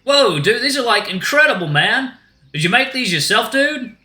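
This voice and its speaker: Man voicing a high, upbeat surfer-dude shark. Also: very animated, normal speed, bright, forceful tone, roomy sound, soft background music, heavily acted. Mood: chilled, enthusiastic. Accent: put-on Australian. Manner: slangy surfer drawl full of "like" and "dude".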